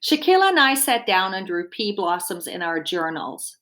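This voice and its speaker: Female storybook reader, mid-pitched and expressive, explaining things simply for young listeners. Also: moderate pace. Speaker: dietitian reading a children's book aloud. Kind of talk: storybook read-aloud with explanatory asides.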